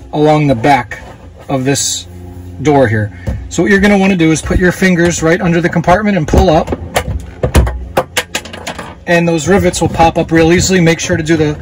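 A man in his thirties with a slightly high voice explains a repair step by step as he works. He talks in a relaxed, plain way into a phone held close, outdoors. Faint noise sits behind him. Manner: relaxed, plain-spoken, with fillers while working. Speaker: dealer host giving a how-to. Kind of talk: casual hands-on tutorial. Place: outdoors beside a jet ski. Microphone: phone camera held close.

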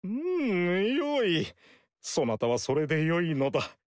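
A man's voice: high voice, huge pitch swings, dry recording, studio quality, full character voice. An actor playing a grandiose male sorcerer-priest who proclaims heaven's will in a solemn, archaic way. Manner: solemn and pompous, slow declaiming. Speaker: Zhang Jiao. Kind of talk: game voice acting.

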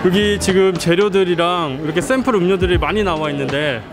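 A man's voice: sits high, a little fast. A man in his thirties, a YouTuber touring a trade show, chatting politely and upbeat into a handheld mic in a busy indoor expo hall. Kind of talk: casual vlog narration and interviews. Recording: loud crowd and music behind. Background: crowd and music.

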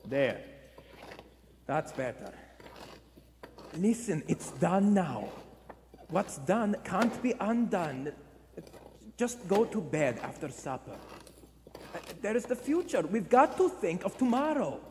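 A man playing a guilty husband in a live radio play, pleading and making halting, nervous excuses. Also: high voice, sing-song, darkish tone, heavily acted. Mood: nervous, remorseful. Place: indoor theatre stage before live audience. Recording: echoey theatre hall, faint noise.

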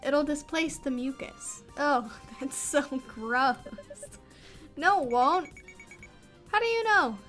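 A woman's voice: sits high, lively intonation, slow pace, pauses often, fairly bright tone, faint music behind, full character voice. A woman voicing a goofy, cocky teenage girl prankster with playful, mock-dramatic teasing.

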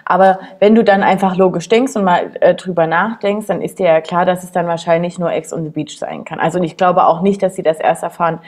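A woman's voice: on the low side, fairly even intonation, fairly quick, hardly any pauses, a little mellow. A woman in her twenties co-hosting a show, talking informally and with firm opinions in slangy language. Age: 20s. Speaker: co-host of an online show. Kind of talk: casual chatty discussion of reality TV. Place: small indoor room at a desk.

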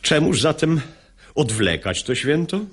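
An actor playing an earnest, reasonable gentleman in a radio play of rhymed verse, measured and insistent as he probes. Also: on the high side, expressive, slightly rough. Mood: probing. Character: earnest, reasonable, probing brother-in-law, a gentleman.

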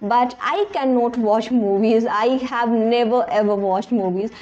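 A woman's voice: on the high side, unhurried, a little mellow, lo-fi sound. A young woman whose first language is Hindi talks earnestly to the camera in her bedroom about learning English. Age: young adult. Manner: earnest, plain and direct. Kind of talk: casual talk to camera. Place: small bedroom indoors. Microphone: camera in front at close range.

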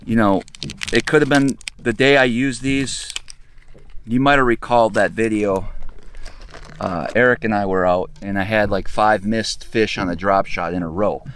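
A man in his forties chatting casually to camera as a fishing vlogger, laid-back and halting as he thinks aloud, recorded outdoors on a boat. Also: fairly low pitch, steady tone, a bit bright. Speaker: fishing vlog host. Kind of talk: casual chat, explaining to camera. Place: open boat deck on a lake, outdoors. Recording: outdoor camera audio with clear boat noise.

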